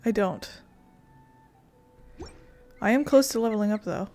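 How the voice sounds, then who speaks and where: A woman's voice: mid-range voice, unhurried, mellow, faint music behind. A woman streaming a story game, giving casual, rambling and playful commentary as she plays.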